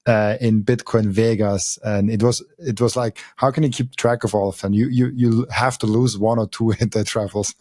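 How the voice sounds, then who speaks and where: Low-voiced man hosting a podcast. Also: steady tone, a little mellow, no echo. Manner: friendly, halting, with many fillers and stumbles. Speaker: podcast host. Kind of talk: casual interview talk.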